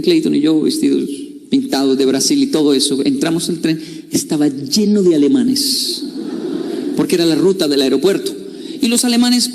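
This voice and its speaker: A man's voice: fairly high pitch, a little mellow. A middle-aged man gives a storytelling talk, playful and confiding, and acts out other people's voices. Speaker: speaker. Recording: long hall reverb.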